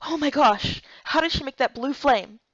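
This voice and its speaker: Casting: female amateur as flustered young game heroine, higher voice, easily alarmed, close dry sound. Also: normal speed, fairly bright tone, no echo, dull audio. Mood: flustered, confused. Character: startled, confused young woman lost in another world.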